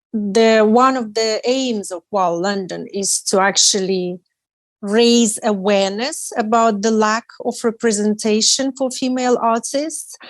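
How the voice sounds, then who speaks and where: A woman in her forties, an artist, answering interview questions in a measured, careful way with restarts. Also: medium pitch, dry recording. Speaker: artist and guest.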